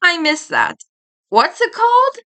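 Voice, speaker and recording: A woman voicing a brash, excitable scheming boy from a cartoon, loud and exclaiming. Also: very high voice, measured pace, vocal fry, pitched above the performer's own voice, heavily acted. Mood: teasing.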